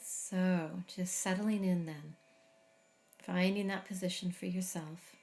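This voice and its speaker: Soft-spoken woman guiding a meditation. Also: on the low side, steady tone, vocal fry, soft background music. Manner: slow, soft, soothing guidance with long pauses. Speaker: meditation teacher. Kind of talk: guided body scan meditation.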